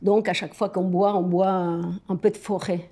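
A woman's voice: on the low side, flat delivery, moderate pace, dark tone, breathy edge. A woman from Argentina, a native Spanish speaker with an Argentine accent, talks reflectively and personally to a companion, often searching for words.

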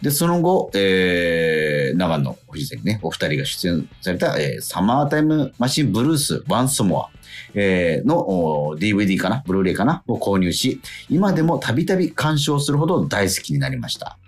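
Casting: male radio presenter, deep slightly rough voice, lively intonation, courteous and chatty over soft music. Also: a little fast. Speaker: radio show host and actor. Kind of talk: casual radio chat and listener-letter reading. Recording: music playing faintly behind. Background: background music.

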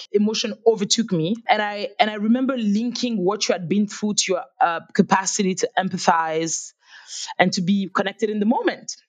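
A woman from Africa hosting a podcast interview over a video call, talking in an eager, effusive way with many restarts. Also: mid-range voice. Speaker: podcast host.

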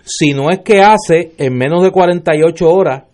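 A man's voice: fairly high pitch, normal speed, a little mellow. A man with a Puerto Rican accent giving sardonic political commentary on a talk show panel.

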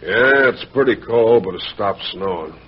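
Gruff, husky American cop. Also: male voice, lowish voice, lively intonation, moderate pace. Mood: puzzled. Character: gruff, puzzled, needling male police officer. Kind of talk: old-time American radio crime drama acting. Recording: muffled vintage radio recording.

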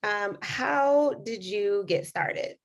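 A woman's voice: mid-range voice, very slow. Upbeat woman podcast host. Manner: enthusiastic, upbeat, laughs often. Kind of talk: casual chat and read introduction.

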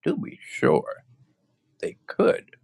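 A woman voicing an eager, friendly scarecrow who speaks in an excited way. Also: very slow.

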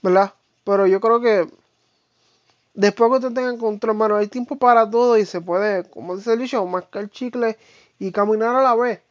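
A Puerto Rican Spanish speaker giving a casual, opinionated monologue to viewers, informal and halting, with slang and English fillers mixed in. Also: medium pitch, fairly even intonation, no room sound. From Puerto Rico. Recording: dull, lo-fi, dry sound.

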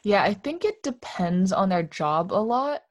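A young woman chatting casually with friends in a relaxed, thoughtful way, with some hesitant fillers. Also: medium pitch, a little slow, no echo, studio quality. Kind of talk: casual chat.

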